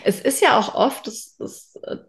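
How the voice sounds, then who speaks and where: A woman's voice: fairly high pitch, measured pace. A woman co-hosting a podcast, chatting casually and reflectively, thinking aloud as she goes. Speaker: podcast co-host. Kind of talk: casual conversational podcast chat.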